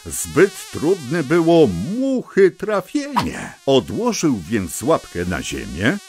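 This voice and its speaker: A man narrating a scripted children's tale as a theatrical, expressive storyteller. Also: a little high, slow. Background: music.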